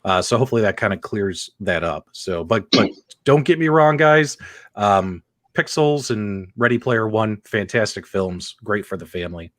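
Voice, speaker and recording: A man's voice: lowish voice, animated, normal speed, fairly bright tone, dry recording. A man hosting a casual live talk show about video game movies, chatty and laughing, with frequent fillers. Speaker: host. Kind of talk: casual livestream chat.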